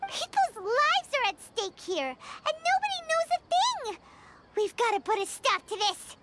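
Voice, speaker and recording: A woman voicing a tiny, excitable, childlike fairy companion in a video game, squeaky and exclamatory. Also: a little slow, slight background noise, full character voice. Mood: indignant. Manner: speaks of self in third person, exclamatory.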